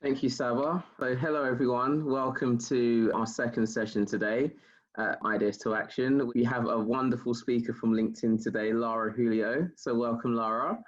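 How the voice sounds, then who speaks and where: A man hosting an online session, briefly and politely introducing the speaker. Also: mid-range voice. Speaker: event host. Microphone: video call. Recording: muffled video call audio.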